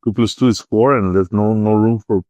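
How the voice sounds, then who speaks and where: A man's voice: on the low side, steady tone, fairly quick, dark tone, dry recording. A middle-aged man with a Salvadoran accent answering interview questions conversationally, often repeating words as he searches for phrasing. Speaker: interview guest, artist and entrepreneur.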